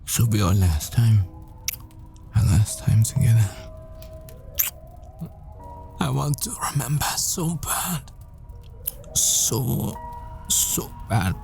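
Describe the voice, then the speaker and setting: A man playing a tender, desperate boyfriend at the world's end in an intimate ASMR roleplay, murmuring breathily and haltingly. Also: medium pitch, big pitch swings, gravelly, a little mellow, faint music behind, full character voice. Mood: wistful, intimate.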